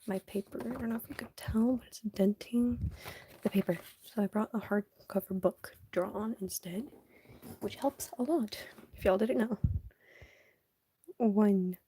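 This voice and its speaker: A young woman chatting casually on a live stream while she draws, soft and half-whispered, sniffly, her voice going hoarse. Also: mid-range voice, normal speed, noisy background. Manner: soft, often half-whispered, hesitant and rambling.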